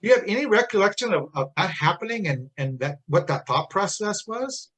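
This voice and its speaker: A man's voice: high voice, expressive, a little fast, fairly bright tone. A man in his sixties doing a casual interview, talking in a chatty, rambling way. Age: 60s. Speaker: interviewer, Navy veteran.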